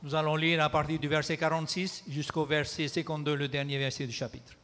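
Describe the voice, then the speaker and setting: A man interpreting a sermon in a church, measured and sometimes hesitant. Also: a little high, level tone, fairly bright tone, in a large hall. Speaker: pastor and interpreter. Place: church hall.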